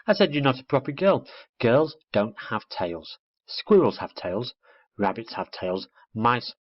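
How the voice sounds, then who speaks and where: A man's voice: mid-range voice, very animated, a little slow, no room sound. A man voicing a small, curious baby barn owl who asks endless questions and gets cross easily, read as a storybook character on a lo-fi recording.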